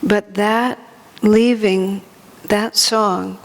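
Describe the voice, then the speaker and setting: A woman's voice: medium pitch, steady tone, extremely slow. A woman giving an informal spiritual talk to a gathering, reflective and searching for her words as a teacher. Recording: long hall reverb.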